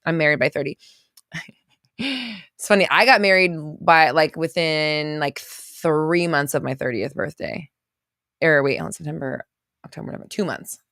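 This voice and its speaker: A woman in her thirties hosting a casual livestream, reading viewer comments aloud and reacting with quick laughs and exclamations. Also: low voice, fairly bright tone, no room sound, clean studio sound. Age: 30s.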